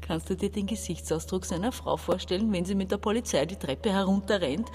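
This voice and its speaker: Actress as a bossy, desperate sister around forty. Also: female voice, medium pitch, brisk, darkish tone, slight background noise, close to an everyday voice. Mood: scheming, reassuring. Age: about 40. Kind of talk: German radio crime drama acting, narration and dialogue.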